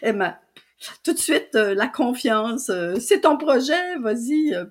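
A woman's voice: a little high, big pitch swings, measured pace. An older woman with a Canadian French accent from New Brunswick, telling her story as a guest, with frequent fillers and restarts. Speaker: guest, publisher and translator. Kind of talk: casual storytelling interview.

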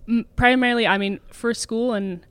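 A woman in her twenties, a swimmer being interviewed, talking casually with many fillers and quick laughs. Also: fairly high pitch, fairly even intonation, a bit bright, clean tone, dry recording. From Canada. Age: 20s. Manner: chatty, filler-heavy, laughs often. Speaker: guest, elite swimmer.

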